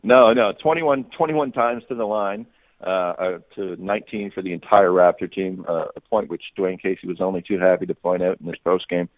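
A man's voice: low voice, normal speed, no echo. A man giving off-the-cuff sports analysis as a guest reporter, often repeating words and restarting his sentences. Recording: muffled phone-like radio line.

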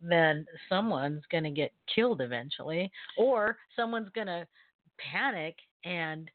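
A chatty woman co-hosting a casual online talk show. Her voice sits a little low and comes through dry and thin, like a phone line. She laughs often and stumbles through restarts. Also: expressive, a little slow, dry recording. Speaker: co-host.

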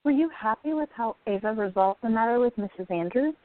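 A woman's voice: fairly high pitch, animated, a little fast, no room sound, lightly acted. An actress playing a supportive, teasing young woman in a radio play, on a thin recording. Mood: teasing, encouraging. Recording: thin, phone-like audio.

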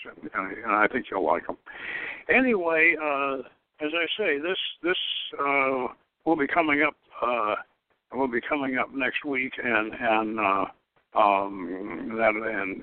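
A man's voice: medium pitch, dry recording. Older male talk-show host, phone-quality sound, rambling and hesitant, word repetitions, solo unscripted delivery. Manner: halting, rambling, repeats words and stalls often. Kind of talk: unscripted solo talk, recapping a story and announcing next episode. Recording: thin phone-line audio.

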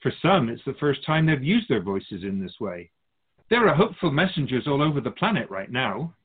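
Man playing a serene soul keeper, animated pitch, phone-like. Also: normal speed, husky. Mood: wise, gently encouraging. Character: otherworldly Keeper of Souls, serene wise spiritual guide. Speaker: radio drama character. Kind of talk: radio drama dialogue. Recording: slightly muffled, phone-like narrow sound.

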